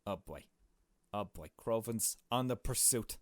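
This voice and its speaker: A man reading a visual novel aloud as a gamer, in expressive storytelling narration broken by casual reactions. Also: fairly low pitch, a little slow, no echo.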